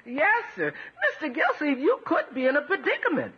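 An actress playing a plain-spoken, good-humoured household cook with a husky voice and folksy, emphatic delivery in a 1950s radio comedy. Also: female voice, sits high, wildly swooping pitch, normal speed. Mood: wry, amused. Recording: muffled old radio recording.

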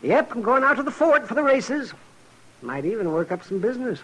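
A man playing a crusty, folksy town doctor in a 1950s radio western, hemming and excitable, with a husky voice. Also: extremely high pitch, fairly quick, slight background noise, full character voice, rough recording. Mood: jovial, teasing. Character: crusty, chuckling, opportunistic frontier town doctor, older man. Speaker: supporting actor in a western radio drama. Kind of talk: 1950s radio drama acting.

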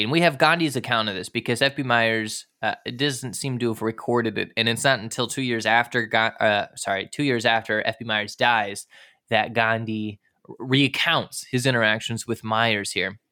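A man's voice: mid-range voice, moderate pace, bright, forceful tone, clean tone. A man hosting a conversational history podcast, talking informally and with enthusiasm. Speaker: podcast host.

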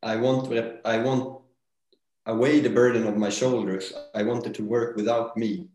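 A man who founded a brand, talking as a guest in a casual interview, halting and restarting his sentences as he thinks. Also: mid-range voice, flat delivery, a little mellow, faint music behind. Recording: roomy echo.

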